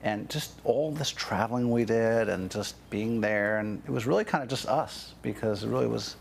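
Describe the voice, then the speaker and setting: A man's voice: medium pitch, moderate pace, vocal fry, a little hiss or hum. A man telling stories as an interview guest, chatty and full of "you know" and "like". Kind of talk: casual storytelling.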